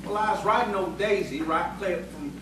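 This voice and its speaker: A man's voice: high voice, normal speed, natural voice. A man playing a boastful, defensive frontier tavern drunk in an audio play, with a rural American accent and a folksy, insistent, rambling way of talking. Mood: earnest storytelling. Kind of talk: audio theatre acting. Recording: roomy, distant, noisy stage recording.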